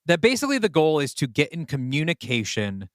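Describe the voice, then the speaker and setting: A man's voice: fairly high pitch, wildly swooping pitch, a little fast, dry recording, clean studio sound. A man co-hosting a podcast, casually brainstorming story ideas in a tentative, thinking-aloud way. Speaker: podcast co-host and writer. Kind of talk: casual creative brainstorming talk.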